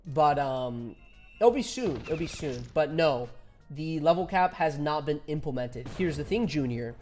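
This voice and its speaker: A man's voice: fairly high pitch, expressive, a little slow, darkish tone, soft background music. A man in his twenties chatting casually with viewers while streaming a game, laughing often and reading chat aloud. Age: 20s.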